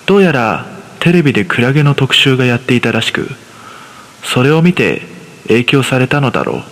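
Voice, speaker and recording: A man's voice: medium pitch, sing-song, a little fast, fairly bright tone. A man narrating a scripted horror story in the first person. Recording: long reverb on the voice.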